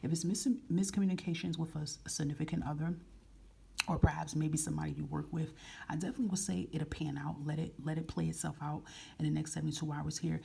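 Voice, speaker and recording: Low, gravelly soft-spoken woman. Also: steady tone, fast, a little hiss or hum. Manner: soft-spoken, frank, repeats phrases. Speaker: tarot reader. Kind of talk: casual tarot reading to camera.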